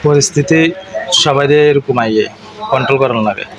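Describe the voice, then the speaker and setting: A man's voice: mid-range voice, fast talker. Man chatting over a very noisy call. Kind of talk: casual intimate phone chat. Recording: noisy video call recording, vehicle sounds.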